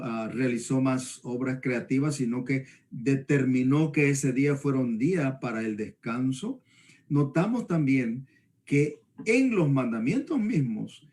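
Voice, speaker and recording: A man's voice: medium pitch, darkish tone. A man from El Salvador, a pastor teaching conversationally and often checking for agreement. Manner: didactic, often seeks agreement with tag questions. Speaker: pastor discussing the Bible.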